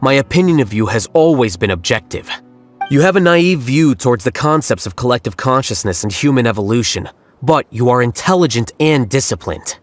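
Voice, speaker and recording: A man voicing a cool, aloof young scholar who speaks in a calm, detached and analytical way. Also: medium pitch, brisk, soft background music, lightly acted. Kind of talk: game voice acting.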